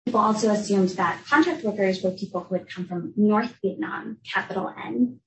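A young woman from the United States giving an academic talk as a researcher, explaining in a clear, measured way. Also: mid-range voice, slight background noise. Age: young adult. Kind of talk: academic lecture. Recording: echoey room, voice from a distance.

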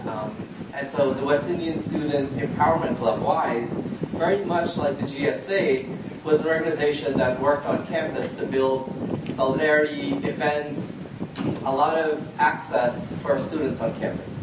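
Man speaking in a very echoey, noisy room. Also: a little high. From Guyana. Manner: conversational, addresses the audience directly. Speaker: panelist, community leader. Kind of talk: informal public panel speech. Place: large echoey indoor event room. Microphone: distant microphone. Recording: very echoey, noisy, muffled, distant room recording.

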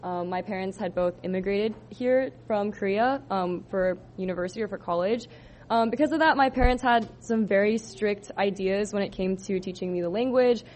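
A young woman from Southern California presents her senior thesis to a room of listeners. She talks in a loose, casual way, filling pauses with ums and likes. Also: medium pitch, darkish tone, a little hiss or hum. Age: 20s. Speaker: student presenter. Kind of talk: thesis presentation to a live audience.

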